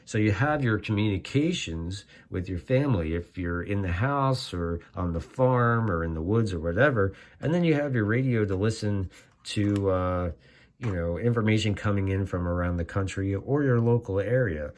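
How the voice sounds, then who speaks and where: A man giving a casual, plain-spoken explainer as a video host, talking in a halting, rambling way. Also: on the low side, expressive. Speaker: amateur radio video host. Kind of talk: casual informal explainer to camera.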